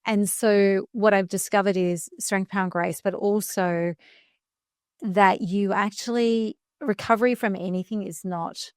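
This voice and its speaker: A woman in her fifties talking earnestly about speaking and recovery, slowing down to stress key phrases. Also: medium pitch, steady tone, dry recording, clean studio sound. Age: 50s. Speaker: guest speaker coach. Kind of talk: reflective podcast conversation.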